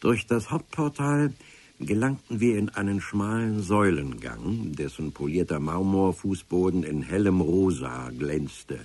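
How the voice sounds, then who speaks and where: A man's voice: sits low, measured pace, darkish tone, husky. A man narrating a radio adventure serial in the first person, with a dry, ironic storytelling manner. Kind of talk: scripted radio-play narration. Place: radio drama studio.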